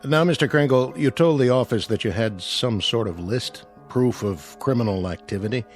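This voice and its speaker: An actor playing a sceptical, businesslike federal agent in a radio drama, formal, measured and questioning. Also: male voice, medium pitch, soft background music, lightly acted.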